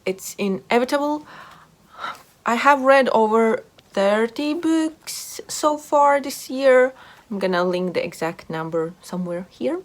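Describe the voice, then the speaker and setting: A woman's voice: a little high, animated, measured pace. A woman hosting a casual book review video, talking informally and repeating words for emphasis. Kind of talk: casual book chat to camera.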